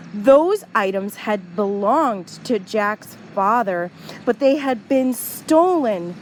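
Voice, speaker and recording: A woman storyteller narrating for young children in an expressive, emphatic way, often leaning on repeated words. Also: fairly high pitch, slight background noise.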